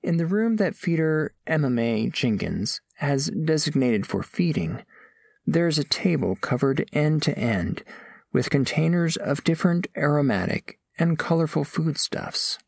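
A man playing a gentle, earnest alien scholar who narrates audio fiction in measured, formal, precise language. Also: a little high, sing-song, gravelly, no room sound, clean studio sound, heavily acted. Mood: curious, observant. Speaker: first-person narrator of a story.

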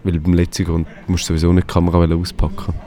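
A man's voice: low-pitched, level tone, rapid-fire, warm tone. A man, chatting casually in a rambling way with many restarts. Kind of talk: casual conversation.

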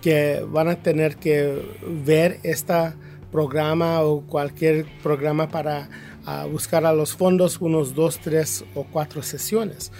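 A man from Kansas with an American accent, a teachers' union representative answering interview questions in halting second-language Spanish, searching for words. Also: fairly high pitch, little pitch movement, dark tone, soft background music. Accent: American English. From Kansas, USA. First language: English.

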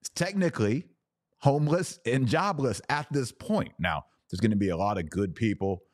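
A man hosting a podcast and giving live opinion commentary in deliberate, emphatic short phrases. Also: mid-range voice, lively intonation, no room sound, studio quality.

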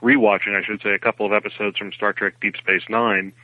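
A man answering interview questions as a guest author over a remote call, explaining things in articulate, measured phrases. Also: on the low side, level tone, no room sound. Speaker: novelist guest. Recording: phone-like narrow band, dry.

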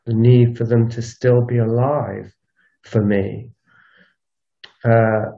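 A man recording a solo spoken audio log on a walk, musing in a halting, unscripted way and circling back over his phrases. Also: medium pitch, level tone, gravelly, warm tone. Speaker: podcast host. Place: outdoors, recorded while walking. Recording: dull lo-fi outdoor recording.

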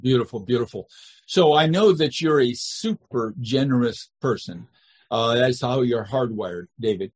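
A man hosts a business podcast interview, upbeat and quick with praise for his guest. His voice has a husky, breathy texture at a middle pitch. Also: lively intonation, darkish tone. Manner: upbeat, encouraging, gushing praise. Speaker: podcast host. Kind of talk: interview hosting.

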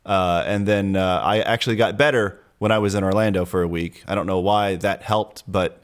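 A man's voice: sits low, steady tone, normal speed, clean tone. A man hosting a casual podcast, chatty and rambling with fillers and restarts. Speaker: podcast host.

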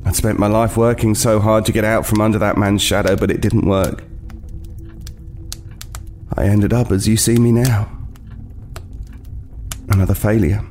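An actor playing an uneasy homeless man who narrates his horror tale in a halting, brooding way. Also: fairly low pitch, fairly even intonation, a little mellow, slight background noise, lightly acted. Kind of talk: dramatic first-person horror narration. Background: music.

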